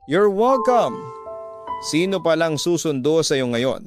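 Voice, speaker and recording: A polite young woman teacher in a radio drama, acted out by a man. She explains herself courteously and with a soft heart. There is clear music in the background. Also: on the high side, very animated, unhurried. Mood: friendly. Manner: courteous, explaining, defensive when accused. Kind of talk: Filipino radio drama acting.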